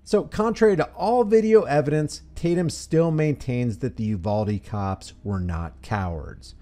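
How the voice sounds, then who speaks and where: A man reading scripted, critical commentary as a voice-over, deliberately stressing key phrases. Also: medium pitch, warm tone. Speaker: commentary channel host.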